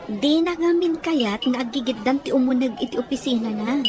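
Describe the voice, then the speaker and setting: A woman's voice: high-pitched, normal speed, crowd noise, noisy background, close to an everyday voice. A woman playing a helpful, deferential young office employee in a radio drama.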